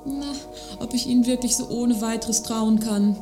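An actress playing a prim, insecure and gullible shop customer in an audio drama, with a formal, earnest manner. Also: female voice, a little high, steady tone, normal speed, warm tone, close to an everyday voice. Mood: coy. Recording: echoey room with music behind.

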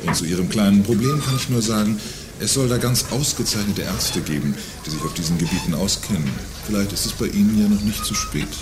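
A man's voice: on the low side, brisk, background noise, natural voice. An actor playing a smug, condescending salesman in an audio drama, speaking with sardonic mockery. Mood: mocking. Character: smug, mocking male boutique salesman with a condescending streak. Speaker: sales clerk. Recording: music behind the voice.

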